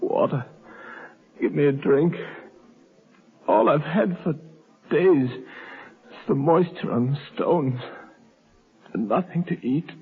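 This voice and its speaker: A desperate, exhausted prisoner in a 1930s radio play. His highish voice is very husky and breathy and comes slowly, weakly, through a thin vintage broadcast. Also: heavily acted. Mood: weak, pleading. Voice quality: hoarse, breathy. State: weak, parched, exhausted. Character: weak, desperate man held captive in tomb. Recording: old 1930s radio broadcast, narrow band.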